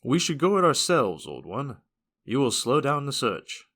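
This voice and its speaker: A man's voice: medium pitch, sing-song, a little slow, a bit bright, heavily acted. A man playing a blunt, socially naive hero in a fantasy audio drama, speaking formally, literally and with stiff earnestness. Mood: blunt, puzzled.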